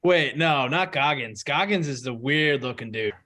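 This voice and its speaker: A man's voice: medium pitch, animated, moderate pace, bright, forceful tone. A man co-hosting a podcast, chatting casually with friends in a jokey, teasing way. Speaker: podcast co-host. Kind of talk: casual banter among friends.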